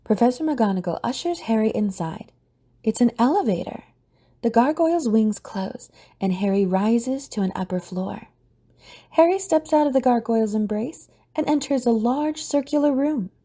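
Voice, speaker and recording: Mellow, husky woman narrator. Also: on the high side, lively intonation, normal speed. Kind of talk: scripted audio drama narration.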